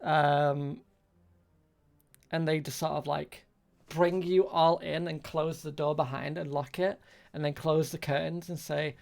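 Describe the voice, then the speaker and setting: A game master improvising narration for a tabletop role-playing game, haltingly, searching for words as the scene is described. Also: mid-range voice, steady tone, creaky, darkish tone.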